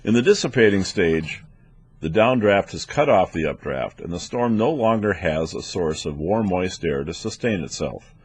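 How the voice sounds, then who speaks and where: A man with a low-pitched, slightly rough voice narrates training material. He explains methodically, like an instructor reading from a prepared script. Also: lively intonation, moderate pace. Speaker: weather instructor for air traffic controllers.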